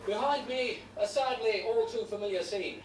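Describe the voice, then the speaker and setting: An actor playing a TV news reporter, delivering a measured, solemn report. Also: male voice, sits high, reverberant, distant mic, a little hiss or hum, close to an everyday voice, poor audio. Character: male TV news reporter on location.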